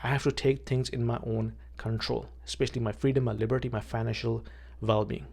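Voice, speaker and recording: A man's voice: medium pitch, steady tone, fairly quick, creaky, a little mellow, husky. A man from Pakistan, a vlogger and realtor, talking plainly to camera outdoors, often repeating words and checking in with "okay". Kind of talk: casual talk to camera.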